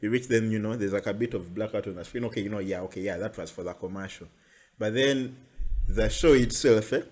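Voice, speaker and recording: A young man with a Ugandan accent chats on a podcast. He tells animated stories and keeps things loose and casual. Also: lowish voice, a little fast. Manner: chatty, animated storyteller. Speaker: podcast host. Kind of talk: casual chat.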